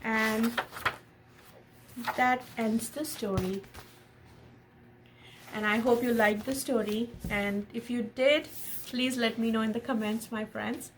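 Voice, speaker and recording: A woman reading a picture book aloud in an expressive storytelling style, pausing to explain and ask questions of a child and viewers. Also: on the high side. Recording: noticeable background noise.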